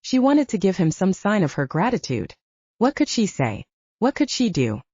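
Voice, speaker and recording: A woman's voice: mid-range voice, wildly swooping pitch, normal speed, darkish tone, dry recording, lo-fi sound. A woman reading literary fiction as a scripted audiobook narration, moving between story and dialogue.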